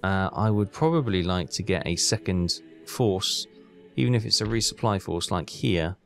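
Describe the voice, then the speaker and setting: A man's voice: deep voice, expressive, normal speed, gravelly, faint music behind. A man hosting a gaming video, giving casual commentary and thinking aloud in a chatty way as he plays.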